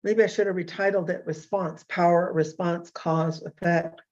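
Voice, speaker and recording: A hoarse woman in her fifties hosting a casual solo talk show, rambling and self-deprecating with many fillers and restarts. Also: fairly low pitch, fairly even intonation, moderate pace, a little mellow, dull audio. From California. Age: 50s. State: hoarse from illness.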